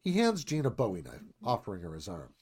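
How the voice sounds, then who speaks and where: Male narrator, lively swinging delivery, dramatic reading of stage directions. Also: fairly low pitch, fast, slightly rough.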